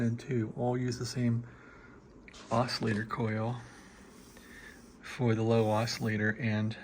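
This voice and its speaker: A man giving a casual, rambling show-and-tell as a hobbyist, laid-back and halting as he thinks aloud. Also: mid-range voice, slight background noise. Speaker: hobbyist showing a restored radio receiver.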